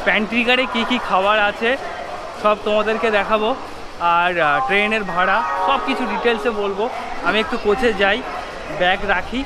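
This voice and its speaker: Male travel vlogger, Indian Bengali accent, high-pitched, casual explainer delivery, recorded in heavy railway noise. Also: a little fast. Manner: explanatory, often checking with "okay?". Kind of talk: casual vlog narration to viewers. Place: busy railway station and train carriage. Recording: loud station crowd and train noise. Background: crowd chatter and railway noise.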